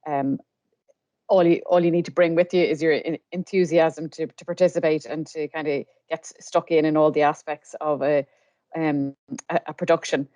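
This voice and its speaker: Irish female podcast host, deep register, informative and conversational, very dry sound. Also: steady tone, moderate pace, dark tone, dry recording. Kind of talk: conversational interviewing with informational announcements.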